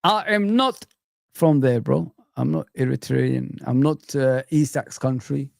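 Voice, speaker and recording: A man's voice: medium pitch, unhurried, creaky, no room sound, clean studio sound. A young man from Somalia hosting a casual football live stream, chatting loosely with viewers and reading comments, calling everyone bro. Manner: relaxed, rambling, calls viewers bro.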